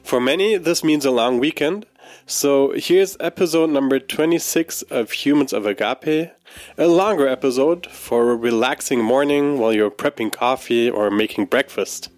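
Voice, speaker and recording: A man's voice: mid-range voice, normal speed, a little mellow, dry recording. A man hosting a faith podcast, reading a friendly scripted welcome and asking curious questions. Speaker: podcast host. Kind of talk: scripted intro, then interview chat.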